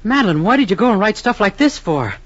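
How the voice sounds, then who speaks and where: A flat, deadpan young husband. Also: female voice, medium pitch, fast talker, no echo. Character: plain, dull young husband songwriter. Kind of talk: 1940s radio comedy acting. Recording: dull lo-fi radio, off-mic.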